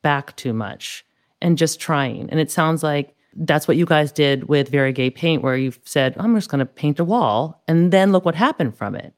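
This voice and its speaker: A woman host with a notably low voice, running a podcast interview. She is warm and encouraging and openly admires her guests. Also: animated, moderate pace. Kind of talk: casual interview.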